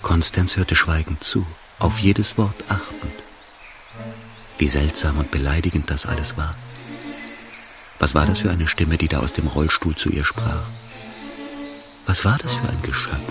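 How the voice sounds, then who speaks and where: An actor narrating a German radio drama in a grave, measured, literary manner. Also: male voice, a bass voice, over music.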